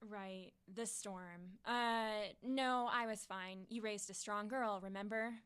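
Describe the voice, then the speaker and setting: Woman playing bright-voiced, wry, grieving teenage girl. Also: mid-range voice, steady tone, takes it slowly, clean tone, no echo. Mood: guarded. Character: grieving teenage girl, withdrawn, sardonic, missing her mother. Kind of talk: fiction podcast audio drama acting.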